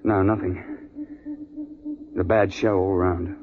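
An actor playing a stern British big-game hunting guide in a 1950s radio drama, speaking in a clipped, understated, old-fashioned way. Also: male voice, low-pitched, flat delivery, unhurried. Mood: grim, subdued. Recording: muffled, noisy 1950s radio recording.

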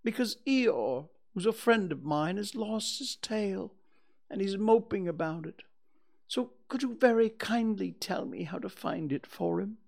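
A storybook reader voicing a humble, slow-witted, dreamy toy bear with a hesitant, wistful manner. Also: mid-range voice, animated, gravelly, a little mellow, pitched above the performer's own voice. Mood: mournful.

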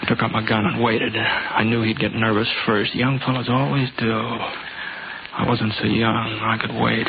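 A man's voice: on the low side, narrow, tinny audio. An American man acting a laconic, folksy drifter gunslinger in a 1950s radio western, on a hissy old recording. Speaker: lead actor and narrator.

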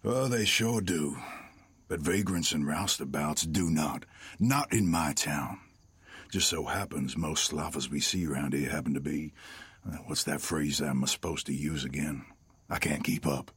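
A crooked small-town lawman with a very low, husky voice. He is smug and menacing, taking his time as he taunts, in audio drama style. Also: a bit bright, dry recording, full character voice. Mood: smug, taunting. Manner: unhurried, taunting, arrogant.

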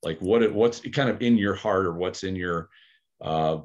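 A man's voice: deep voice, moderate pace, highs cut off. A man talking through ideas on a podcast, thoughtful and hesitant, with many fillers and restarts. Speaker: aikido practitioner, podcast co-host. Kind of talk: casual podcast discussion.

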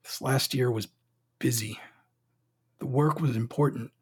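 A man's voice: mid-range voice, measured pace, breathy edge. An actor playing a proud, grieving young emperor in an audio drama, brooding and formal in his delivery. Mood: brooding, sorrowful. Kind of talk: audio drama acting with first-person narration.

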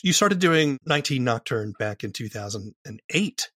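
A man's voice: mid-range voice, lively intonation, normal speed, fairly bright tone, no room sound, studio quality. A man interviewing as a podcast host, casual, enthusiastic and agreeable.

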